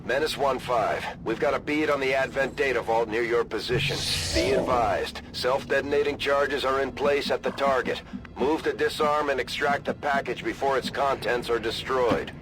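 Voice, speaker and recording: An actor playing a serious military second-in-command in a video game, briefing with a clipped, businesslike delivery. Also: male voice, medium pitch, normal speed, close to an everyday voice, rough recording. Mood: commanding, focused. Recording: loud game music over voice.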